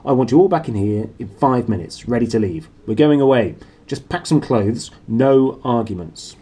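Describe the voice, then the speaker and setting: A man's voice: mid-range voice, animated, normal speed, warm tone, breathy edge. A man reading a storybook as a blustering, domineering middle-aged uncle who gives emphatic, clipped orders. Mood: barely controlled anger.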